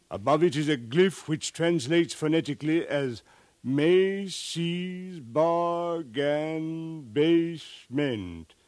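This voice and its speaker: Pompous expedition leader, slow declaiming delivery, fairly high voice, 1950s radio play. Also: male voice, a little mellow, dry recording. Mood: solemn. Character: male expedition-leading scholar of the far future, pompous, dismissive. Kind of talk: 1950s radio drama acting.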